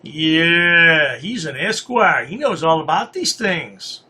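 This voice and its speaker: A man's voice: fairly high pitch, animated, slow pace, bright, forceful tone, slightly rough. A man giving mocking commentary as a host, with a sarcastic, taunting manner. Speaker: host commenting on played clips.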